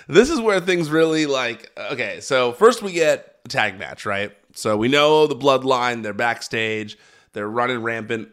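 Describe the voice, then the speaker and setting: Smooth-voiced enthusiastic American man. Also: mid-range voice, a bit bright. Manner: enthusiastic, chatty, emphatic repetition. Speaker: podcast host. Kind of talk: casual solo recap commentary on wrestling.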